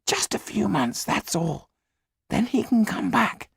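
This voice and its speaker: A man voicing a haunted, secretive male magician in an audiobook, high, raspy and breathy, telling things in a slow, halting way. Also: sing-song, fairly bright tone, dry recording, clean studio sound, heavily acted. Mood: weary, resolute. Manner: slow, dramatic, halting storytelling. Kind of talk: audiobook character acting.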